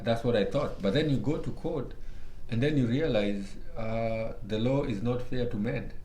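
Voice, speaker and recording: A man with a Kenyan accent, a guest speaking earnestly and emphatically about his own experiences, often halting and restarting mid-sentence. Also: mid-range voice, warm tone. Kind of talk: candid personal testimony in conversation. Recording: roomy echo with faint background noise.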